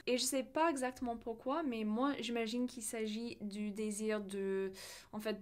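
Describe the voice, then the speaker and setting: A young woman, a native English speaker with a learner's accent in French, telling a personal story emphatically as a video creator. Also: on the high side. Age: young adult.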